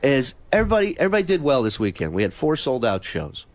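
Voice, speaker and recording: A man telling stories in an animated, exasperated, profane rant on a talk show. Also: medium pitch, fast talker. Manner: exasperated, profane, quoting dialogue as they go. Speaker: stand-up comedy promoter and show guest.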